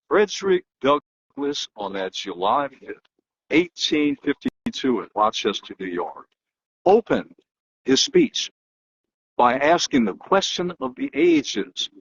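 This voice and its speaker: A man giving an emphatic, declamatory dramatic reading in the manner of a public orator, with weighty pauses and a husky voice. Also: medium pitch. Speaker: political analyst and host. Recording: dull, lo-fi muffled sound.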